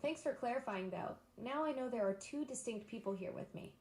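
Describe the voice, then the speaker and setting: A woman's voice: a little high, expressive, brisk, darkish tone, echoey room. A synthetic female voice assistant talking in a bubbly, enthusiastic, eager-to-please way. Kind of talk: upbeat synthetic assistant chat.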